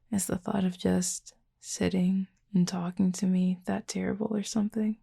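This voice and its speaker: Woman acting as a female werewolf, flirty and caring, intimate audio roleplay, heavy vocal fry, slow murmur. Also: medium pitch, level tone, no echo, clean studio sound, heavily acted. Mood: reassuring, teasing. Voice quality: breathy, husky, fry-laden murmur. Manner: hushed, unhurried, teasing yet gentle.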